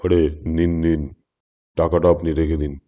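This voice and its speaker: Horror cartoon ghoul: grave, insistent veiled stranger, flat delivery, drenched in long reverb. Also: male voice, a bass voice, a little slow. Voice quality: heavy, grave voice. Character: mysterious veiled male customer, secretly a ghoul, grave and insistent. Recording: muffled, long cavernous reverb.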